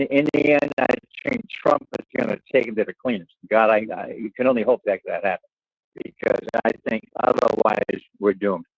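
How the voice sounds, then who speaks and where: A man's voice: mid-range voice, gravelly, no room sound. A man calling in to a talk radio show over a phone, speaking bluntly and often repeating words. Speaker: caller. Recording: muffled phone line.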